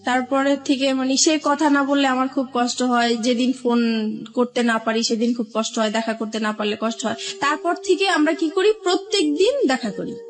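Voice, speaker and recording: A woman's voice: sits high, moderate pace. A woman with a Bangladeshi accent telling her own life story as an interview guest, often retelling conversations as she goes. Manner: recounts events with reported dialogue.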